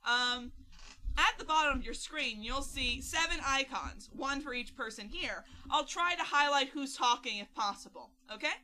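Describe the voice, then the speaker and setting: Bright high-voiced woman, chatty commentary. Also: lively intonation, moderate pace, low-quality recording. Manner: chatty, hesitant with fillers. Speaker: fan series creator on commentary. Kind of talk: casual group commentary.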